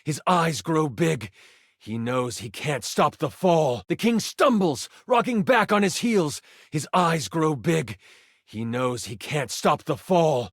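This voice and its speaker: An excitable male game master tells a fantasy tabletop tale for a video game. His fairly high voice swings widely in pitch as he builds suspense with theatrical flair. The sound is dry and close. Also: gravelly, husky, no echo, full character voice. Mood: excited, dramatic. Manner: theatrical, expressive, savoring suspense. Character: personified twenty-sided die, an excitable male game master. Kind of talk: dramatic tabletop fantasy narration.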